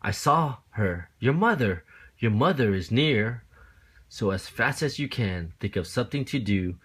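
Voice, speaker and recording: A man's voice: mid-range voice, lively intonation, a little slow, fairly bright tone, husky. A man acts out a little storybook fish full of worry. It frets and scolds, exclaiming its warnings with nervous insistence. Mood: panicked. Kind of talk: children's picture-book read-aloud.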